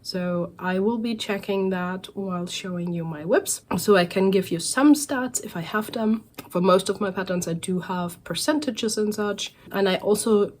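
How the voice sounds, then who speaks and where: A woman in her forties, recovering from a cold so her voice sounds a little off, chatting in a relaxed, rambling way with fillers and self-corrections as a cross-stitch vlogger. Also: mid-range voice, normal speed, hardly any pauses. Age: 40s. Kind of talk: casual chatty vlog monologue.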